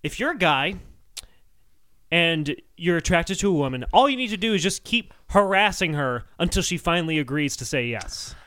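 A man's voice: fairly high pitch, lively intonation, normal speed, fairly bright tone, no room sound, studio quality. A man co-hosting a comedy podcast, chatting casually in a teasing, mock-scolding way. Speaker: podcast co-host.

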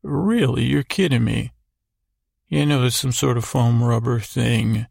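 A man hosting a podcast in a halting, stammering, meandering improvised bedtime monologue, repeating words as he goes. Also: medium pitch, creaky, a little mellow, husky, no room sound, clean studio sound. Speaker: podcast host.

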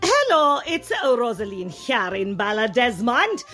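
An actress as a gossipy, put-upon County Cork woman in a comedy sketch. Her voice is high and sharp, full of catty exasperation, over faint music. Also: sing-song, measured pace. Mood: catty, exasperated. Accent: Irish, County Cork. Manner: griping, catty. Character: exasperated, gossipy small-town Irish woman with airs. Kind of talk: scripted comedy monologue.

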